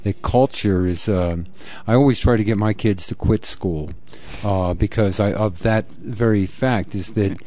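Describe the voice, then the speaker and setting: Mellow, rambling male radio host. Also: fairly low pitch, measured pace. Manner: rambling, loose talk with many fillers. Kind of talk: live radio interview.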